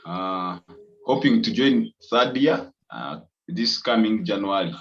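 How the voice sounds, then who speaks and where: A man's voice: on the low side, slow pace. A young man from Uganda with a Ugandan accent, a student introducing himself briefly with ums. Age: young adult. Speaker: student panelist. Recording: muffled lo-fi audio.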